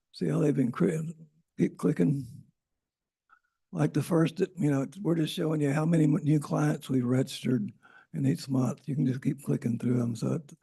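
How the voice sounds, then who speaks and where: A man's voice: fairly high pitch, gravelly, dark tone, slightly rough. A man giving an informal, rambling presentation at a public meeting, often correcting himself as he goes. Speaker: transit agency presenter. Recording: Zoom meeting audio.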